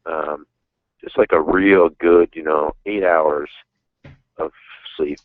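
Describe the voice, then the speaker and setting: A man's voice: a bass voice, steady tone, takes it slowly, dry recording. A man in his thirties calling in, chatting casually and frankly about himself with some self-mockery. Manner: frank, self-deprecating, rambling. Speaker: caller. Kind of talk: casual chat. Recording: dull phone line.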